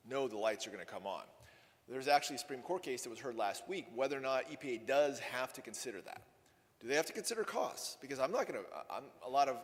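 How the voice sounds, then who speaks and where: A man giving a conversational, wry conference talk on policy, often correcting himself mid-sentence. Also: medium pitch. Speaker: energy policy speaker. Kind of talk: conference presentation with Q&A. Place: conference hall. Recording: long hall reverb.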